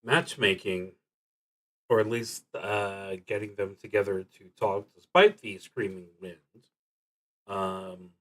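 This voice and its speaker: A man's voice: lowish voice, fairly even intonation, a bit bright, poor audio. A man streaming a solo tabletop roleplaying game, thinking aloud in a hesitant, musing, unscripted way. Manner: hesitant, musing, halting delivery.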